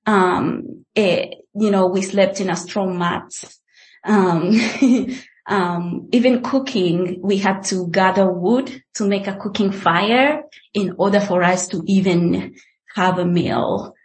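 A young woman from the Democratic Republic of Congo, a guest telling her life story in an interview, speaking hesitantly with many pauses and fillers. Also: medium pitch.

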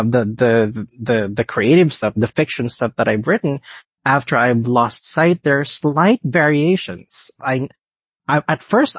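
Give me a husky-voiced Filipino man in his late thirties, speaking earnestly with a dry, close sound. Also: medium pitch, no room sound. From Philippines. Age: late 30s. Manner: earnest, halting, restarts often. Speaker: guest researcher. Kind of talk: conversational interview answer.

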